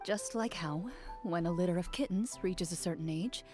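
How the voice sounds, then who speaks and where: Lowish calm woman duelist, acted, stoic n measured, video game line, faint music. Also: close to an everyday voice. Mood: calm, reflective. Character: composed, stoic young woman duelist, reserved and thoughtful.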